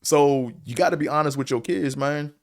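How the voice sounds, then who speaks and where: A man with a deep voice and a slight stutter, giving a blunt, profane, rambling opinion monologue as a show host, full of fillers. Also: normal speed. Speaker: show host reviewing a series.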